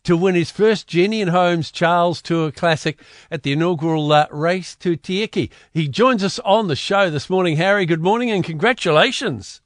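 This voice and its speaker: A man's voice: sits high, moderate pace, few pauses, no room sound, studio quality. A man hosting a radio interview, asking chatty, friendly questions. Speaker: radio host. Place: radio studio. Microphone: studio mic.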